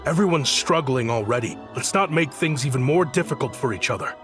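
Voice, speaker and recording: An actor playing a smooth-talking government liaison in a video game, with a diplomatic, conciliatory, speechifying manner. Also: male voice, mid-range voice, expressive, fairly quick, music in the background, lightly acted. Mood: placating.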